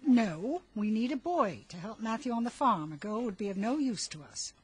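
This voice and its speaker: An actress voicing a stern, practical older farm woman who talks in brisk, clipped, scolding lines in an audio drama. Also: fairly high pitch, sing-song, slight background noise. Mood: firm. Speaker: actor playing Marilla.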